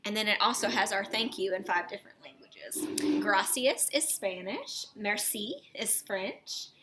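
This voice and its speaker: A woman teacher reading aloud to children and explaining as she goes, in an expressive manner. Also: medium pitch, measured pace, heavy background noise. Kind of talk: children's read-aloud and lesson.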